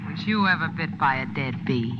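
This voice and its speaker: A woman's voice: low voice, animated, normal speed. A worldly, teasing young woman in vintage radio drama. She speaks with dry, laconic cool. The old broadcast is muffled and noisy. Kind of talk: 1940s radio drama acting.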